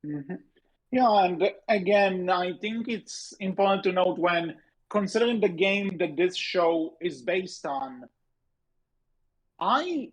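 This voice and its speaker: A man co-hosting a podcast in casual discussion, halting and circling back over his points as he goes. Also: on the high side. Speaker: podcast co-host. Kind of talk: casual discussion of animated shows. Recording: dull, muffled lo-fi audio.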